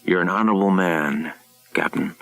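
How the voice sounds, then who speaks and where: A man playing a wealthy creditor in an audiobook, coldly commanding, formal and measured. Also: sits low, gravelly, fairly bright tone, no room sound. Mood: businesslike.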